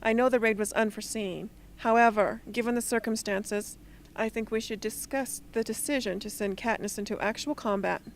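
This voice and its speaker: A woman's voice: a little high, fairly even intonation, normal speed, slight background noise, lightly acted. A woman playing a stern, formal rebel leader with an authoritative manner in an amateur radio drama. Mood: cool, questioning.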